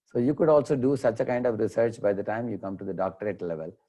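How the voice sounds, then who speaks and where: A man with an Indian accent, a devotional lecturer answering questions in an online class, explaining patiently with frequent pauses over a video call. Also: lowish voice, fairly even intonation, dark tone. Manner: unhurried, explanatory, many "uh" pauses.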